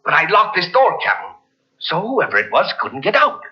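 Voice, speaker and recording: An actor playing a frightened, superstitious ship's sailor who talks in rustic dialect, in 1940s radio acting style. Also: male voice, sits high, normal speed, breathy edge, full character voice. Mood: earnest. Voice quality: rustic dialect, earnest and deferential. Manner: excitable, urgent telling. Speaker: ship's crewman. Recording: lo-fi old radio recording from cassette.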